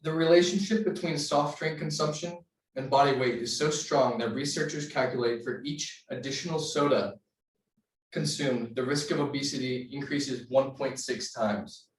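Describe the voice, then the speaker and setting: A young man delivers a persuasive speech for a class, earnest and steady as he works through statistics, sometimes restarting a phrase. His voice sits at a middle pitch. The mic is set well back, so he sounds distant in a roomy, echoing space. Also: moderate pace, a little hiss or hum. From United States. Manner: earnest, cites figures, occasional restarts. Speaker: student giving a class speech.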